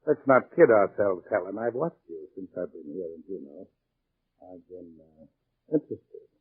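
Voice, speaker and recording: A man's voice: sits low, big pitch swings, moderate pace, breathy edge, full character voice. A man playing a shrewd, glib schemer with an insinuating manner, in the style of 1940s radio drama acting. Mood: sly, pressing. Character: shrewd, smooth-talking male schemer with designs on partner's wife. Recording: muffled, tinny 1940s radio recording.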